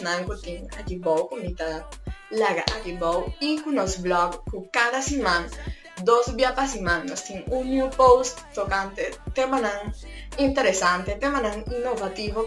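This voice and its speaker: A woman's voice: mid-range voice, a little slow, a bit bright. Chatty Papiamento-accented woman vlogger. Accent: Curaçao Papiamento. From Curaçao. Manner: chatty, switching between languages. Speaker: YouTuber. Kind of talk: casual explainer vlog. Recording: echoey room with faint music.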